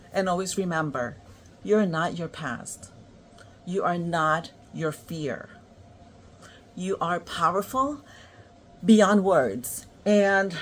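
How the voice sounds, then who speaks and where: Woman with a New York accent, from Puerto Rico; unhurried motivational coach, lower voice. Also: slight background noise. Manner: slow, encouraging, warm affirmations. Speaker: motivational creator. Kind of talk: motivational self-help talk.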